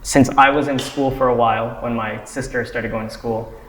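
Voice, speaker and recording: Young man, middle pitch, measured and reflective, recorded in a roomy space. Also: fairly even intonation. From United States. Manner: thoughtful, measured explaining. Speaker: discussion participant. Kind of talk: casual discussion. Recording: roomy echo.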